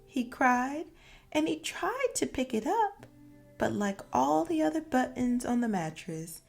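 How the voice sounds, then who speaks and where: A woman narrating a children's storybook expressively, with deliberate, dramatic phrasing for young listeners. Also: high-pitched, very animated, creaky, soft background music. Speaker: children's read-aloud narrator and former teacher.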